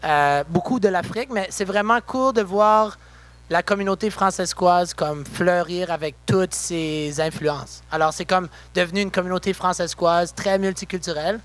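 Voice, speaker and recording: High-voiced young man, relaxed and chatty. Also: normal speed. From Saskatchewan, Canada. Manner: relaxed, chatty, full of fillers. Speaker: musician guest. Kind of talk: casual interview. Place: backstage at a concert venue.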